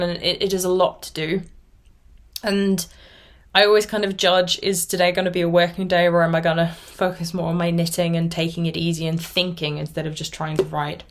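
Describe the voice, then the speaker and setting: A woman of about thirty whose first language is German talks in a relaxed, rambling way. Her voice sits a little lower than most women's. She chats casually, reflecting aloud as she hosts her own knitting podcast. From Austria. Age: around 30. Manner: rambly, chatty, self-reflective. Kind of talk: casual vlog chat.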